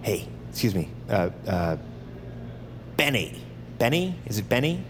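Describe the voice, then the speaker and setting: Low-voiced, desperate detained man. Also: very animated, background noise. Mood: anxious pleading. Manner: halting, pleading, strained politeness. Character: tense, desperate detained male assassin insisting he is sane. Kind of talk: audio drama acting.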